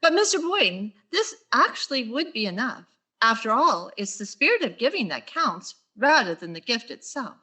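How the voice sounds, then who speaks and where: An actress playing a wry, quick-witted high school English teacher in 1940s radio sitcom style, with dry sarcastic asides. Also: female voice, very animated, pushed and bright, husky, full character voice. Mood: sweetly sarcastic.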